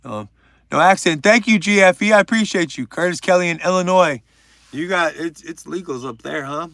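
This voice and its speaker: A man's voice: on the high side, normal speed, creaky, a bit bright, dry recording, clean studio sound. A man hosting a casual livestream from home, rambling, swearing and joking with his chat. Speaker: livestream host.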